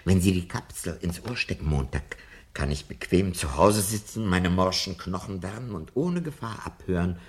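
Radio drama: anxious elderly professor, low register, very mobile intonation, timid intellectual. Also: male voice, animated, moderate pace. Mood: earnest. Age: older. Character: timid, anxious old former literature professor. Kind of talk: 1970s German radio play acting.

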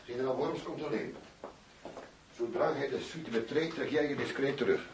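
A man's voice: medium pitch, normal speed, very noisy, close to an everyday voice. An actor playing a controlled male undercover agent in a radio drama, speaking in a terse, businesslike, guarded way. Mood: brisk, tense. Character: controlled undercover agent posing as shady arms-dealing doctor.

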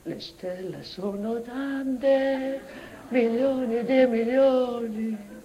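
A woman's voice: a little high, extremely slow, warm tone, muffled recording, a little hiss or hum. An actress playing a mad, childlike, tormented mother in a tragicomic stage monologue, speaking a broken invented dialect with obsessive repetitions and stammered restarts. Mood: tender.

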